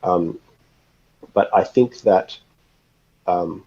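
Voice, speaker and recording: A man speaking as an expert interview guest, explaining things informally in a thoughtful, halting, analytical way, on slightly dull audio. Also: deep voice, mellow, slightly rough. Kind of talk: casual explanatory interview talk.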